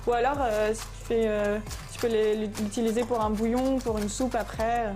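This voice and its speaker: A woman cooking on a show, calmly explaining each recipe step in a practical way. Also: fairly high pitch, normal speed. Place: home kitchen. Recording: kitchen sound with background music.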